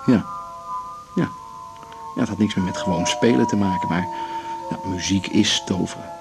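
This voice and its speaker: Musician husband, radio drama. Deep-ish, easygoing, reflective, slightly halting; clear music in the background. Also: male voice, rough recording. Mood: enthralled. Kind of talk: 1980s Dutch radio drama acting.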